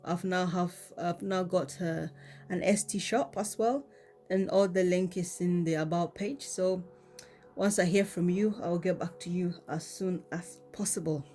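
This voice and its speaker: A woman with a cold giving a casual tarot card reading, talking in a relaxed way with many ums and repeated "okay?". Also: on the low side, measured pace, faint music behind. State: has a cold. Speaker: tarot reader.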